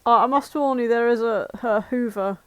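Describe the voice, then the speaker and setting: A woman's voice: a little high, moderate pace, clean tone, dry recording. A British woman chatting casually as a podcast co-host.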